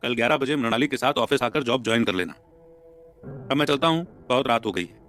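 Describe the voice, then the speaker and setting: A man's voice: mid-range voice, fairly quick, fairly bright tone, soft background music, lightly acted. A man voicing a stern middle-aged father-in-law and boss, grave and admonishing, in an audio story. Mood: grave, reluctant.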